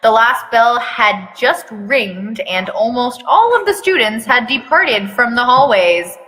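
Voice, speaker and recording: Slow-paced, bright-voiced woman reading in long reverb. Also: fairly high pitch, expressive. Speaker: reader performing a fan fiction aloud. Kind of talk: dramatic reading with mocking asides.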